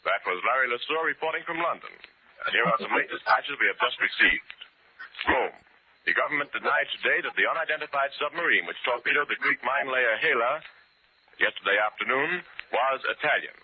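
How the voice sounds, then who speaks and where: A man's voice: medium pitch, expressive, normal speed. An American man anchoring a 1940s radio news bulletin, reading dispatches in a scripted announcer style. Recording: old narrow-band radio with hiss and clicks.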